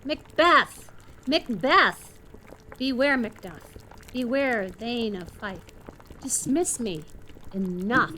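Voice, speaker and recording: Ghostly apparition played by a woman, highish voice, slow foreboding cries, noisy bubbling background. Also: lively intonation. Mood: urgent warning. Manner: slow, drawn-out warning calls. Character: summoned apparition, a ghostly spirit crying warnings. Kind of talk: dramatic reading of Shakespeare.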